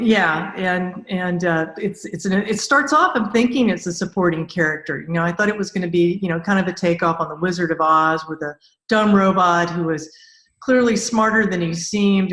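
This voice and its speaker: A woman with a lowish voice hosts a conversational interview podcast. She gushes with enthusiasm as she talks and asks questions. The sound is roomy, with noticeable echo around her words. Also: normal speed, fairly bright tone. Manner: effusive, enthusiastic.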